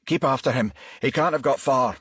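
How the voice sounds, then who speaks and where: A man voicing an eager, quick-acting male police officer in an audiobook, with short urgent lines. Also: medium pitch, fairly bright tone, husky, dry recording, studio quality, pitched above the performer's own voice. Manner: short, urgent commands and questions. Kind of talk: audiobook character dialogue.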